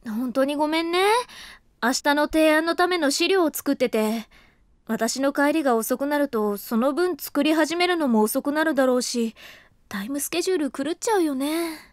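A flustered, apologetic office worker. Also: female voice, sits high, expressive, moderate pace, no echo, clean studio sound. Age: young adult. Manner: apologetic, flustered, narrates inner thoughts. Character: tired apologetic young office woman. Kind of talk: studio audio drama. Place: dry studio.

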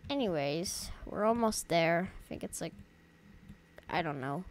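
Woman let's-play host, relaxed slow delivery, chatty and rambling, slightly off voice from allergies. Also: on the low side, a little hiss or hum. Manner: chatty, rambling, talks to viewers as "guys". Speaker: gaming YouTuber. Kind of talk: casual let's-play commentary.

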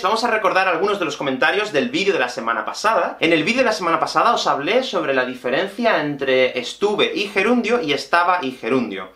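A man in his thirties from Spain with a Peninsular Spanish accent, teaching a language lesson to camera in an expressive, explanatory way. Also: on the high side. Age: 30s. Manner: expressive, explanatory, addresses viewers directly. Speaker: Spanish teacher and video host. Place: small indoor room with whiteboard and bookshelf. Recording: echoey small room.

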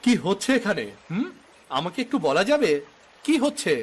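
Man as highish-voiced, decent cartoon villager. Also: big pitch swings, normal speed, soft background music. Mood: stern. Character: decent, firm village man standing up for the weak. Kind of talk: Bengali cartoon acting.

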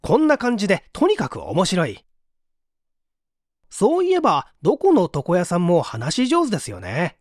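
A man narrating a book as scripted audiobook reading, expressive and clearly articulated. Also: sits high, moderate pace, no room sound, studio quality.

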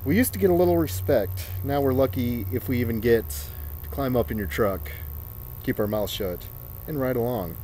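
A man in his thirties performs a mock-dramatic reading, theatrical and deliberate, with drawn-out phrases and pauses, recorded outdoors on a camera. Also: mid-range voice, warm tone, soft background music. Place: outdoors in a snowy residential yard.

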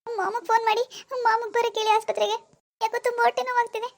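Actress as a young pregnant wife, pleading, whining, very high voice, fast. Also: female voice, fairly even intonation. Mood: pained pleading. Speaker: daughter-in-law. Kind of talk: family drama acting.

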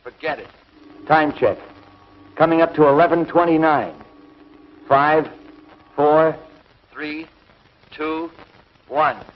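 A commanding young space hero. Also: male voice, fairly high pitch, slow, long pauses. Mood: impatient, urgent. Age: young adult. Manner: curt, commanding, clipped orders. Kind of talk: 1950s TV sci-fi serial acting. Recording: dull, noisy 1950s TV soundtrack.

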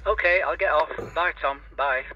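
A man's voice: a little high, moderate pace, bright, forceful tone, no room sound. An older man chatting in a hesitant, rambling way as an amateur radio operator. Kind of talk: casual radio chat. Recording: dull lo-fi radio link.

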